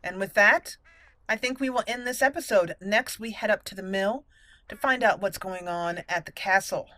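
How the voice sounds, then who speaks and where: A woman's voice: medium pitch, normal speed, sharp tone, no echo. A woman hosting a gaming channel, giving casual, playful commentary on a roleplay game in a chatty way.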